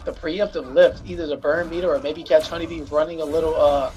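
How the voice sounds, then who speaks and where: A man's voice: a little high, steady tone, a little fast, a little mellow, soft background music, low-quality recording. A man doing loose, joking play-by-play commentary on a fighting game tournament. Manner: hype, loose, jokes with co-caster. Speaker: esports commentator.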